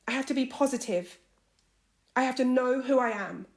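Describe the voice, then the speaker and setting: A woman's voice: high voice, moderate pace. A woman playing a firm, determined young woman. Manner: firm, earnest, emphatic. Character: determined young woman set on becoming a lawyer.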